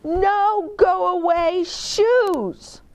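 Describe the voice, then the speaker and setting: A woman voicing an insistent, clingy little storybook girl in loud, excitable exclamations. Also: very high voice, drawn-out words, no echo, pitched above the performer's own voice, full character voice. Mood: upset.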